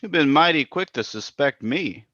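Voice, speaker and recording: A man's voice: a little high, animated, normal speed, sharp tone, dry recording. A man playing a sly, mistrustful, sardonic racketeer in a 1940s-style radio drama, in dull, muffled sound. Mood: wary, needling. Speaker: actor in an old-time radio drama revival.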